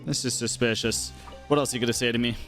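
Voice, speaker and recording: A man's voice: medium pitch, steady tone, quick pace, fairly bright tone, soft background music. A man streaming a game, giving casual, informal live reactions to his chat. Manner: informal, offhand.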